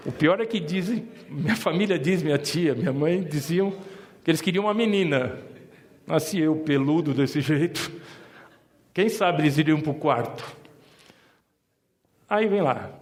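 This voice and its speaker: A man's voice: fairly high pitch, animated, unhurried, long pauses. A middle-aged man with a Mooca accent from São Paulo tells a personal family story to an audience, with joking asides. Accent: São Paulo Italian-influenced (Mooca). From Brazil. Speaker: insurance advisor giving a talk.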